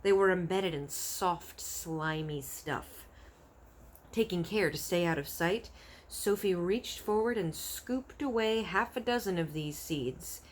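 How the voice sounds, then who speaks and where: A woman with a fairly low voice reads a children's story aloud. Her narration is expressive, in the manner of a storybook read-aloud. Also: takes it slowly, a little hiss or hum. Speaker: storybook reader.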